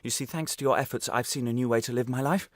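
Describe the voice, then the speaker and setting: A man playing a wronged, quietly defiant ex-prisoner in a radio play monologue, confessional, wry and halting. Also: medium pitch, dry recording, studio quality, pitched above the performer's own voice, lightly acted. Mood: defiant, aggrieved.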